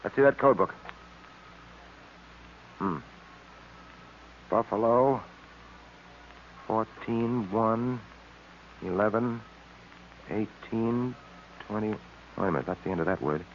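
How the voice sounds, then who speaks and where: A man playing a brisk, commanding, methodical police detective in an old radio serial, clipped and authoritative. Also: lowish voice, a little hiss or hum. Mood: intent, decisive. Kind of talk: 1930s-40s radio serial acting. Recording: muffled vintage radio serial recording.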